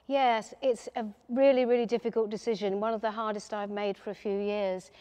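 A woman in her seventies, chatting warmly in an interview inside a car showroom and laughing often. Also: medium pitch, fairly even intonation, a little fast, clean tone. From England. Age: 70s. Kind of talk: casual interview. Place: large car showroom, indoors. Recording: echoey showroom hall.

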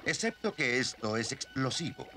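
An actor playing a stern, sceptical guardian who admonishes, in a Latin American Spanish cartoon dub. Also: male voice, mid-range voice, very animated, normal speed, sharp tone, soft background music. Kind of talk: animated series dubbing.

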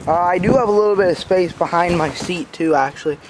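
A man giving a casual, unscripted walkthrough as an amateur reviewer, talking in a halting, informal way outdoors on a handheld phone. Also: sits high. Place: outdoors in a backyard. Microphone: handheld phone camera. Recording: outdoor phone recording with clear background noise. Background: passing cars.

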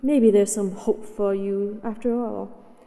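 A woman's voice: medium pitch, fairly even intonation, slow, warm tone, big-room sound. A woman voicing a possessive, haughty dragoness in a scripted roleplay audio, speaking with condescending, teasing command. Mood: mocking, threatening. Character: possessive, haughty, mighty female dragon captor.

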